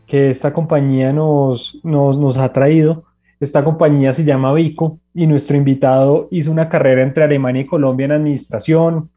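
Guy introducing a guest on radio, slow and careful, warm tone. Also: on the high side. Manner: slow, deliberate, some restarts. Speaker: radio show co-host.

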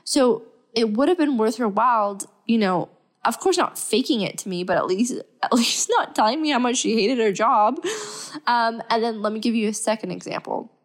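A woman's voice: on the high side, normal speed. A young woman hosting her podcast, chatting casually while sick and stuffy, upbeat and full of fillers. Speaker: podcast host. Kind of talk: casual solo podcast talk.

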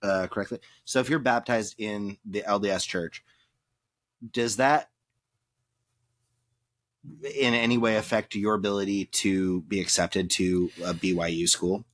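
A man's voice: on the low side, a little slow, pauses often, fairly bright tone, no room sound. A man co-hosting a podcast who asks probing, teasing questions in casual debate. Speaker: podcast co-host.

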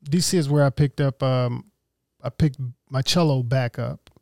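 A man from Mississippi, co-hosting a relaxed interview chat. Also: medium pitch, normal speed, no room sound, clean studio sound. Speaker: co-host.

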